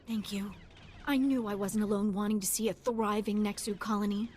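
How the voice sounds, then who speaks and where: A woman's voice: mid-range voice, steady tone, normal speed, a little mellow, slight background noise, close to an everyday voice. A woman playing a zealous, earnest wildlife activist in a video game, gushing about her cause. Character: zealous female wildlife activist devoted to predators. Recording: game music underneath.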